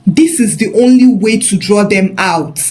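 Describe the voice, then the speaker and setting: A woman's voice: mid-range voice, unhurried, a little mellow, natural voice. A woman voicing a brave but frightened young female student with an earnest, pleading manner. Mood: nervous resolve.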